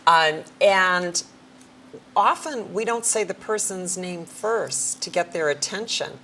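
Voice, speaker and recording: A middle-aged woman with a fairly low voice talks through practical hearing tips in a friendly, down-to-earth way. She sometimes slows right down to show how to speak clearly. Faint noise sits under the recording. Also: expressive, bright, forceful tone. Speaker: hearing care professional, guest. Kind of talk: conversational advice on a talk show.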